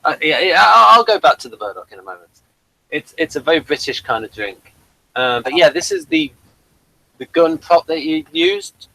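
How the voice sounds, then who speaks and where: A man hosting a TV review show in casual, unscripted chat, with a rambling, halting delivery. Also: a little high, huge pitch swings, gravelly, bright, forceful tone, no room sound, rough recording.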